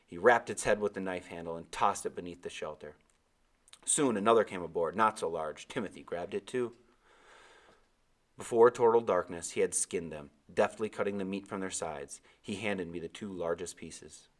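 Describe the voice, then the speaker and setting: A man's voice: low voice, normal speed. A man reading a novel aloud as its narrator, in scripted storybook narration.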